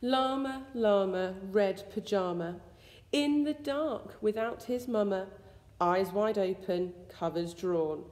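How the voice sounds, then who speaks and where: Young lady reading a kids rhyming book, soft-edged tone, real echoey room. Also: medium pitch. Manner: deliberate, sing-song storytelling. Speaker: school staff member reading a bedtime story. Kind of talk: expressive read-aloud of a rhyming children's picture book. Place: school room with suspended ceiling, indoors. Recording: echoey indoor room with long reverb.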